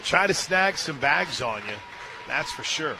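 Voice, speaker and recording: A man doing live play-by-play softball commentary for radio from a stadium booth. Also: fairly high pitch, animated, unhurried. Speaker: play-by-play announcer. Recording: crowd noise behind. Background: ballpark crowd and music.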